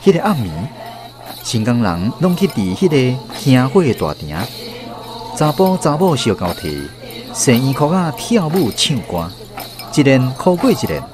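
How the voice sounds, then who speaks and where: A male narrator tells a radio drama with a Taiwanese accent. His storytelling is dramatic and animated, moving widely in pitch over prominent background music. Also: measured pace.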